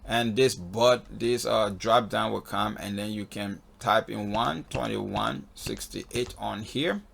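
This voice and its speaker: A man with a gravelly, husky voice giving a casual step-by-step spoken walkthrough as an instructor, often checking in with "okay.". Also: on the low side, takes it slowly, a bit bright. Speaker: flight instructor in a tutorial video. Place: voice-over on a screen recording.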